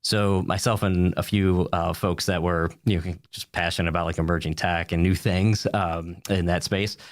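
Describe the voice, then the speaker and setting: An American man with a deep voice talks as an interview guest. His answers are casual and wandering, padded with small filler phrases. The sound is dry, with little room around it. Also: flat delivery, normal speed, no echo. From United States, upstate New York. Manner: rambling, peppered with "you know" and "like".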